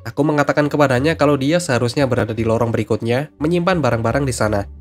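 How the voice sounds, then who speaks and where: Fast-talking male story narrator. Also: medium pitch, faint music behind. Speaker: narrator of Reddit stories.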